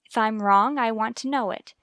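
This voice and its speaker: A woman's voice: a little high, normal speed, fairly bright tone, smooth, dry recording, studio quality. An actress playing an earnest, determined wife and worried mother in a radio soap, speaking in a firm, formal, reasoning way. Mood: earnest, pleading. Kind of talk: radio soap opera acting.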